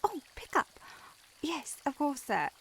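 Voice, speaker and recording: A woman's voice: high-pitched, big pitch swings, measured pace, slight background noise, exaggerated voice. A woman playing a timid young shop assistant in a historical audio roleplay, nervous, stammering and eager to please. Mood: shy, eager.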